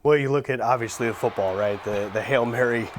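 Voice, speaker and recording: A man's voice: mid-range voice, brisk. A male sports commentator with a mid-range voice. He chats casually as a panelist, and there is faint noise behind him.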